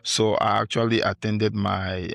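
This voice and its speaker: Male guest, forties, low and gravelly, attentive conversationalist. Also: flat delivery, a little slow, no room sound. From Cameroon. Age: 40s. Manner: warm, attentive listener with constant short affirmations. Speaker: podcast guest. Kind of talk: casual conversational interview.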